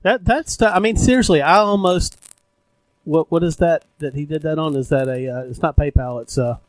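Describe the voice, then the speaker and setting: A man's voice: fairly high pitch, normal speed, no echo, low-quality recording. A man in his forties co-hosting a podcast in laid-back, joking chat. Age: 40s. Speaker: podcast co-host. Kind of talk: casual chat.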